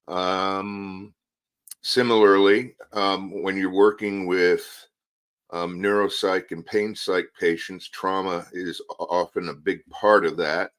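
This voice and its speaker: A man in his sixties, a retired psychologist guest on a live talk show, speaking haltingly as he searches for words. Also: low voice, fairly bright tone.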